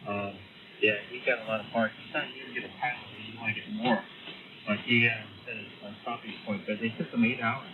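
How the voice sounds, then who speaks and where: A man, an amateur radio operator, chatting in a relaxed, hesitant way over the air. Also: fairly low pitch, brisk, narrow, tinny audio. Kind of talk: casual on-air radio chat. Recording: radio transmission with static.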